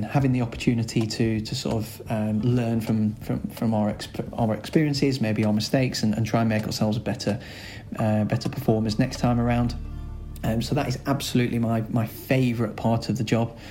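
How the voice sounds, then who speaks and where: A man with a Lancashire accent, a school's director of sport, answering podcast interview questions in a chatty, rambling way with many filler pauses. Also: fairly low pitch, warm tone. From Lancashire, England.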